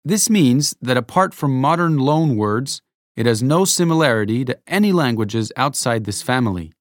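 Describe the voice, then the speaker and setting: A man reading a scripted educational narration, explaining clearly and adding rhetorical asides. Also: mid-range voice, lively intonation, normal speed, no echo, clean studio sound.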